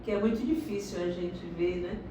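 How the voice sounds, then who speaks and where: A woman's voice: on the low side, steady tone, a little fast, recorded from a distance. Woman in an echoey room. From Salvador, Bahia, Brazil. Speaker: interviewee, doctorate holder. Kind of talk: personal life story interview. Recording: echoey room, recorded from afar, noisy.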